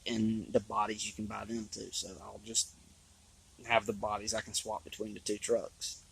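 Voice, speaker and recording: A man with a low, gravelly voice talks in a flat, even way, thinking aloud and correcting himself as he goes. He is recorded outdoors on a trail, with a faint hiss of noise behind him. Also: normal speed, poor audio. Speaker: RC hobbyist vlogger. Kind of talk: casual rambling talk.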